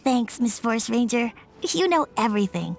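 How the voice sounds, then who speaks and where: A woman voicing a shy, polite little boy in an animated video game, sensitive and hesitant in the way of speaking. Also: a little high, moderate pace, creaky, full character voice. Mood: brightened. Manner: hesitant, soft-spoken stammering.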